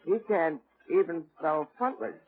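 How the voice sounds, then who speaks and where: A man's voice: fairly high pitch, measured pace, creaky, crowd noise. A man playing a patient, wry guardian angel in a 1940s radio comedy, explaining things in a matter-of-fact way. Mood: wry amusement. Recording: tinny, very noisy old radio recording.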